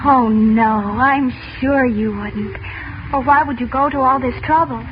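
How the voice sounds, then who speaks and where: A woman's voice: high voice, a little slow, a little hiss or hum. An actress playing an innocent, trusting teenage girl in a 1940s radio serial, anxious and hesitant in her earnestness. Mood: anxious, earnest. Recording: dull old radio recording with music.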